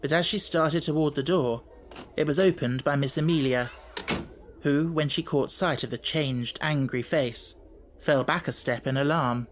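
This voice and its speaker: A man's voice: on the high side, unhurried, slight background noise. A man reading scripted literary narration as an audiobook narrator.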